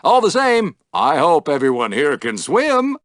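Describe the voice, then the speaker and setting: An actor playing an exuberant, wry podrace announcer in a video game, with a showy, dramatic broadcast delivery. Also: male voice, on the high side, huge pitch swings, a little slow, a bit bright, dry recording, studio quality, exaggerated voice. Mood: showy, enthusiastic. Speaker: sports announcer. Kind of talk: theatrical sports commentary for a video game.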